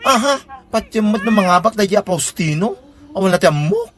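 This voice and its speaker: An actress with an Ilocano accent playing a gossipy, skeptical, sharp-tongued woman in a comedy radio drama. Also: mid-range voice, lively intonation, moderate pace, close to an everyday voice. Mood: mocking.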